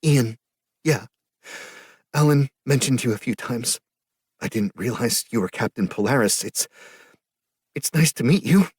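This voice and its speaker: A grieving young woman cries and pleads in a high, shaky voice, as a male reader puts her on. Her words tumble out between sobs, and a husky grain sits under the strain. The reading is dry and close, with no room around it. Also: expressive, measured pace, pauses often, darkish tone, no room sound. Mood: tearful. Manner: teary, sobbing, breathless pleading.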